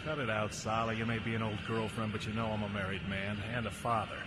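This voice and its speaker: An actor playing a brash, gruff smuggler pilot with an impatient, wisecracking manner in a sci-fi audio drama. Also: male voice, on the low side, level tone, quick pace, close to an everyday voice. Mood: wry. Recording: clear ambient noise.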